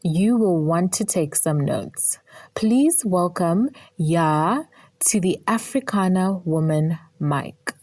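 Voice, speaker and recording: A woman reading a scripted introduction of a podcast guest, slowly and deliberately. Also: lowish voice, lively intonation, a little mellow, dry recording.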